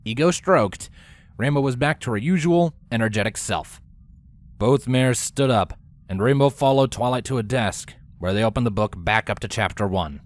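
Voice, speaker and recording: A man's voice: medium pitch, animated, moderate pace. A man reading scripted story narration as the narrator of a tale.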